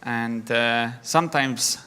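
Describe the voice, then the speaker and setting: A young man from Estonia telling his founder story in a plain, slightly halting way on a conference stage. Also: medium pitch, bright, forceful tone, clean tone. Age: young adult. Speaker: startup founder giving a talk. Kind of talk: conference talk.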